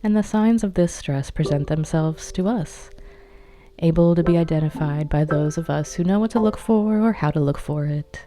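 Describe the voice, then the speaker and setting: A woman narrating a guided meditation in a calm, measured, instructional manner. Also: sits low. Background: meditation music.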